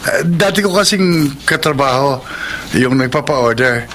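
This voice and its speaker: An actor playing a meek, evasive young husband who speaks hesitantly in a Tagalog radio drama. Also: male voice, medium pitch, lively intonation, a little slow, a bit bright, a little hiss or hum. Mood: nervous, evasive. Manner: hesitant, stammering.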